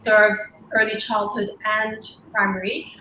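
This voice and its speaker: A woman's voice: mid-range voice, level tone, slow, roomy sound, background noise. A woman born and raised in Hong Kong, whose first language is Cantonese, talking fluently with frequent restarts as a school co-founder on a video call. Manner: warm, fluent with many restarts and ums. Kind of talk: interview on a livestream.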